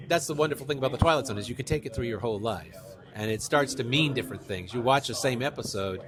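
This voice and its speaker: Talkative middle-aged man from New York. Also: mid-range voice. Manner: talkative, enthusiastic. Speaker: author, interview guest. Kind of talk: conversational interview, anecdotal storytelling.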